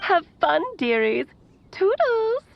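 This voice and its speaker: Playful, creaky-voiced, theatrical witch aunt. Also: very high voice, very animated, slow, dull audio, very noisy, exaggerated voice. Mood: playful, breezy. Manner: theatrical, teasing, sing-song. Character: playful, breezy, eccentric witch aunt leaving a recorded message. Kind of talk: video game voice acting.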